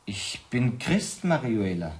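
An actor playing a troubled, inquisitive professor in a radio drama, speaking in a hesitant, musing way. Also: male voice, mid-range voice, very animated, slow, breathy edge, dry recording, exaggerated voice. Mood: insistent. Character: troubled, inquisitive professor visiting, an educated gentleman. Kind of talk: German radio drama acting.

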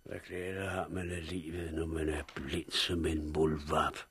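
A man's voice: a bass voice, flat delivery, a bit bright, slight background noise. An actor playing a drunken man who has gone blind, rambling in a slurred, despairing way in a 1960s radio drama. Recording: vintage radio broadcast.

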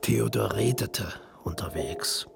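A man with a creaky, gravelly voice, narrating a literary radio play. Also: fairly low pitch, takes it slowly, music in the background.

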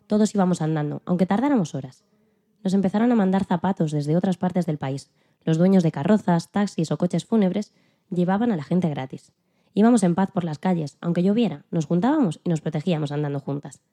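A woman plays an elderly female activist telling her story in a scripted interview. Her voice is very soft-edged and dark, slightly low, and moves along quickly. She stays calm, earnest and plain-spoken, with quiet dignity. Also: natural voice. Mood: earnest, resolute. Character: Rosa Parks, elderly woman activist recalling the bus boycott, dignified. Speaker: podcast guest in a dramatized interview. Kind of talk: scripted first-person storytelling in interview form.

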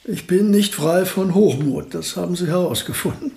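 A modest, understated old physicist with a dry, ironic wit in a radio drama. His voice sits somewhat high for a man, and his remarks come out calm and deadpan. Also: moderate pace, creaky. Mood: wry. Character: wry, modest elderly male physicist visitor. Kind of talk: radio play acting.

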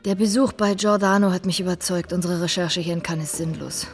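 An actress playing a young, inquisitive and exacting investigator in a German radio drama, self-assured in manner. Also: female voice, fairly low pitch, flat delivery, brisk, soft background music, close to an everyday voice. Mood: brisk, exacting.

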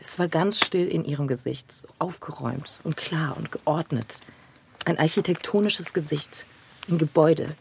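Eine Schauspielerin spielt in einem Hörspiel eine kühle, schroffe Journalistin, die distanziert und versonnen von ihren Beobachtungen spricht. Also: female voice, sits low, normal speed, creaky, noisy background. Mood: absorbed, musing. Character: cool, brusque woman journalist absorbed in her own observations. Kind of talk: naturalistic radio drama dialogue.

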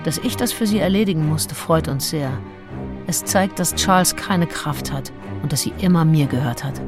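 A woman's voice: low-pitched, normal speed, music in the background, lightly acted. An actress playing a self-assured, seductive nineteenth-century woman diarist, frank and intimate, in a radio play. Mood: satisfied. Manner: frank, matter-of-fact, intimate. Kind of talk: radio play, first-person diary reading.

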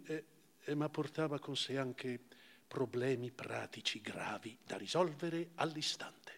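A man giving a measured, expressive theatrical recitation of first-person literary prose, with deliberate pauses. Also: on the high side, long reverb tail. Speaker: actor reading literary prose. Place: theatre or hall, live performance.

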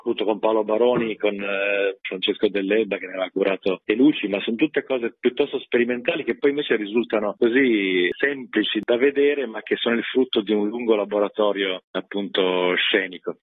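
A man speaks over a narrow, tinny line with a dry sound. His voice is lowish and husky, in the conversational style of a theatre director giving an interview. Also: brisk, hardly any pauses, no room sound.

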